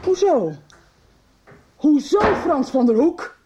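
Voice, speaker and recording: An actress playing a suspicious, aggrieved middle-aged wife in a radio drama, accusing and questioning. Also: female voice, high voice, sing-song, slow pace, dull audio, background noise, heavily acted. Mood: accusing, hurt.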